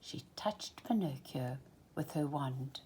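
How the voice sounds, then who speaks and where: A woman storyteller reading a children's storybook expressively, with a deliberate, dramatic delivery that moves between full voice and hushed phrasing. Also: rumbling low, expressive, slight background noise.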